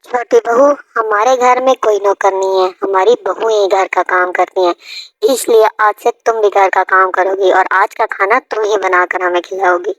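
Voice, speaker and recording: A woman's voice: mid-range voice, fairly even intonation, moderate pace, no room sound, natural voice, poor audio. A woman voicing an arrogant, bossy older mother-in-law in a family cartoon, scolding and imperious. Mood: commanding.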